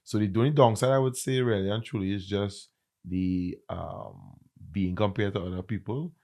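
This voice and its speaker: A man with a Trinidadian accent, a content creator and comedian, chatting in an interview and telling stories with lively emphasis. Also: fairly low pitch, normal speed. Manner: animated, emphatic storyteller. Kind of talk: casual interview chat.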